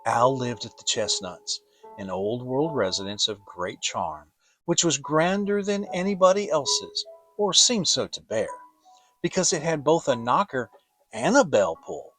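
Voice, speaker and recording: A man narrating a children's story as a dramatic audiobook, deliberate and expressive. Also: medium pitch, soft background music, low-quality recording.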